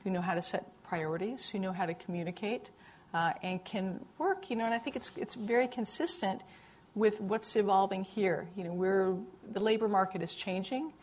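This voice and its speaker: A woman's voice: medium pitch, normal speed, slight background noise. A woman answering as a panelist, earnest and thoughtful, often repeating words as she builds her point.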